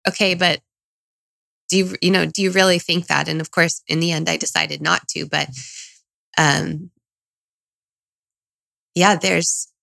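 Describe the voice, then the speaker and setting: Low-pitched, gravelly woman, reflective. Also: level tone, unhurried, pauses often, sharp tone. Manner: reflective, confiding, self-questioning. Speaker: interview guest, single parent. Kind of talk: candid personal conversation.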